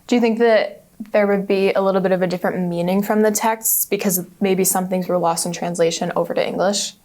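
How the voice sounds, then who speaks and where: A woman's voice: medium pitch, steady tone, brisk, a little mellow. Woman host asking brief questions. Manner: brief, interested questions and listening sounds. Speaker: interviewer host. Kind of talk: interview questions.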